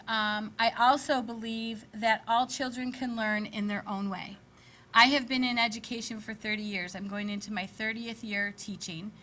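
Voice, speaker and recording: A woman, giving an energetic, chatty candidate statement at a public forum. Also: mid-range voice. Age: 50s. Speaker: school board candidate.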